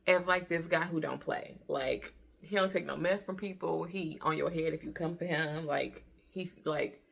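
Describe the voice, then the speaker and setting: A woman's voice: lowish voice, creaky, pushed and bright. An American woman in her early thirties giving a casual book review in an informal, chatty way, repeating herself for emphasis. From Detroit, Michigan, USA.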